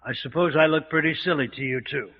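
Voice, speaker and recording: An actor playing a nervy, excitable young English gentleman with a British accent in an old-time radio serial. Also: a little high, fast, slight background noise, natural voice. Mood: uneasy. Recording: muffled old radio recording.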